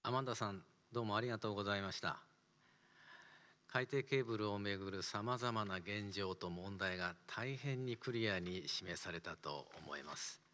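Older man, seminar moderator; brisk, lowish, formal; studio with lingering reverb. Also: sharp tone. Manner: polite and formal. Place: studio with wood panels. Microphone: desk mic.

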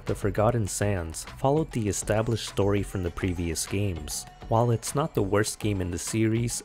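A man's voice: fairly low pitch, a little mellow. A man delivering scripted narration as the narrator of a video essay.

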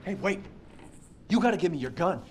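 An actor playing a frightened, sarcastic civilian in a horror video game, urgent and exasperated. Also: male voice, sits high, expressive, fairly quick, slight background noise. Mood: exasperated urgency. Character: desperate, frightened, sarcastic male civilian trapped by killers. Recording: faint background ambience.

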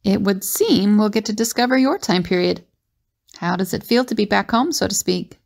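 A woman playing a sceptical, observant time-travelling companion in an audio drama, articulate and questioning, with a creaky, husky voice. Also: mid-range voice, moderate pace. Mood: curious.

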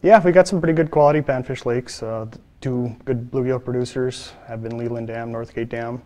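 A man's voice: mid-range voice, steady tone, a little fast, a little mellow. A man in his thirties, a fisheries biologist, answering interview questions plainly with many filler uhs. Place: studio, seated.

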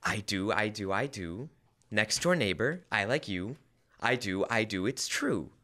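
A young man playing an earnest, fussy husband in an old-time radio comedy, with hesitant, deliberate comic timing. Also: fairly low pitch, animated, pushed and bright. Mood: reciting, deadpan. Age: teens to young adult. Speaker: student actor. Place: school library, indoors, live stage reading. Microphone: stand microphone.

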